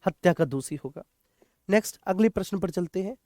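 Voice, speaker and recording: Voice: mid-range voice, expressive, fairly quick, warm tone, no room sound. Exam coach, repetitive and dry-sounding. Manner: repeats key points, often checks understanding; addresses students familiarly as children. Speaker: teacher, exam coaching instructor. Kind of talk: lecture for exam students, questioning and explaining.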